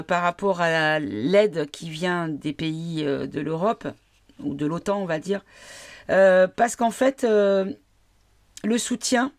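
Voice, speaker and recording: A woman's voice: lowish voice, darkish tone. Woman with a cold reading cards. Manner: hesitant, many fillers, questions the cards aloud. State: has a cold. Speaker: tarot card reader. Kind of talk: casual card reading to viewers.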